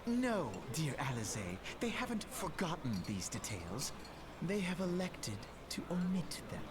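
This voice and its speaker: A man playing a self-assured young noble brother in a fantasy game, speaking in lofty, measured, formal phrases. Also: on the high side, sing-song, noisy background. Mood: grave, lofty. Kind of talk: scripted fantasy game cutscene acting.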